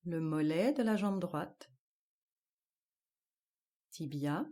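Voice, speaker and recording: A woman guiding a yoga nidra relaxation, giving calm, measured instructions in short, spaced-out phrases. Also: on the low side. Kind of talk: guided relaxation meditation.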